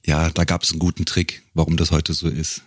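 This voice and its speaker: A middle-aged man, telling stories casually as a podcast guest and pausing to pick his words. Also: rumbling low, flat delivery, husky, no room sound, clean studio sound. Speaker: podcast guest, singer and professional voice-over speaker. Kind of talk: casual conversational storytelling.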